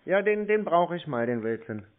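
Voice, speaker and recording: A man's voice: fairly high pitch, big pitch swings, moderate pace. A man chatting casually on the telephone as a prank caller, unflappable and dogged, with an air of feigned innocence. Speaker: prank caller to scam call centres. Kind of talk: casual phone chat. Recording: narrow-band phone line.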